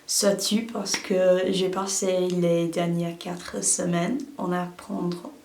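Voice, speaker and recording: A young woman chatting to her camera with a hesitant, filler-filled delivery. Her voice sits a little low and carries a gravelly fry. The room around her sounds echoey. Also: little pitch movement, slow, a little mellow, a little hiss or hum. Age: young adult. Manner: hesitant, many fillers and hedges. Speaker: language-learning vlogger. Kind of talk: casual talk to camera.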